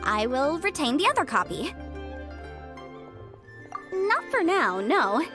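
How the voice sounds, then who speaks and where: A woman's voice: high voice, big pitch swings, slow pace, full character voice. A woman playing a clever, self-assured young legal adviser in a video game, articulate, formal and precise. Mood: brisk, professional. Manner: articulate, formal, confident explaining. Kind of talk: video game character acting. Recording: clear background music.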